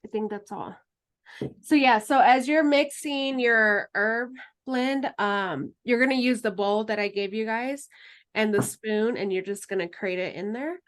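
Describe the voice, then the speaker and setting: A woman teaching an herbalism class in a relaxed, chatty way, then leading a guided meditation. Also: a little high, measured pace. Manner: relaxed, chatty, laughs easily.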